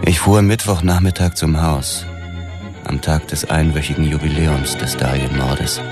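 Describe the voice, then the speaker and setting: A man's voice: extremely low pitch, fairly even intonation, moderate pace. A man playing a young hard-boiled police detective narrating a crime radio drama in a terse, world-weary way, with music behind him. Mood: brooding, terse. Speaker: actor narrating and playing the lead.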